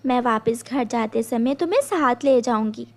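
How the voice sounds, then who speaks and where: A woman's voice: a little high, animated, normal speed, darkish tone. A woman voicing a kind, trusting mother sparrow in a cartoon story, caring and practical. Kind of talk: animated children's story voice acting.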